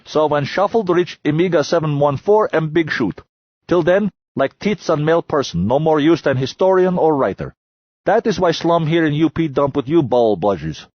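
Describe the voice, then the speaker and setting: A man voicing a blunt miner who speaks in broken, clipped English, talking higher than his own voice. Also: big pitch swings, moderate pace, dry recording, lo-fi sound.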